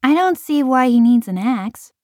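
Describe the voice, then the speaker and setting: Very high-pitched, impassioned little farm girl. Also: lively intonation, normal speed, a little mellow, no room sound, studio quality. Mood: curious. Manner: impassioned, pleading. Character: upset, protesting eight-year-old farm girl. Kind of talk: full-cast audiobook acting.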